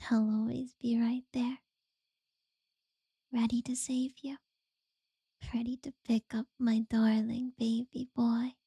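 Woman doing a possessive captor, breathy whisper, dark and flat, slow, dry close sound. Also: fairly high pitch, dry recording, exaggerated voice. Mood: creepy tender. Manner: slow, intimate, unsettlingly sweet. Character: obsessive possessive woman holding a captive. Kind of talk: whispered audio roleplay.

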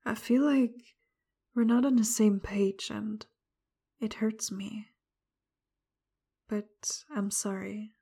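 A woman speaking straight to the listener, first frustrated and confrontational, then soft, apologetic and tender, much of it in a breathy whisper. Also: mid-range voice, level tone, slow, pauses often. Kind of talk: roleplay audio addressed to the listener.

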